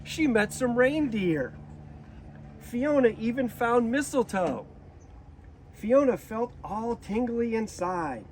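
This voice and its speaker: Male storybook reader, high-pitched and unhurried, deliberate delivery, faint background noise. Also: animated. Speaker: zoo director reading a storybook. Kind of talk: children's storybook read-aloud.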